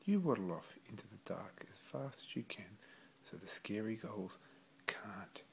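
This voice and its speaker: A man voicing a protective, encouraging adult male puffin parent in a storybook, patient and measured. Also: lowish voice, animated, creaky. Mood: reassuring. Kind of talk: storybook character voice.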